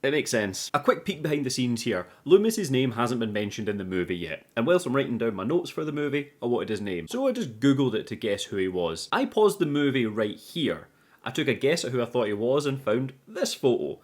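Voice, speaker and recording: A man's voice: medium pitch, big pitch swings, a little fast. A man giving a casual scripted movie recap as a reviewer, chatty and informal, with casual swearing.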